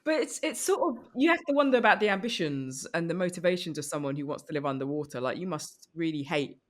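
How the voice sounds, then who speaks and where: A woman riffing casually as a comedy podcast guest, thinking aloud in a halting way. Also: lowish voice, lively intonation.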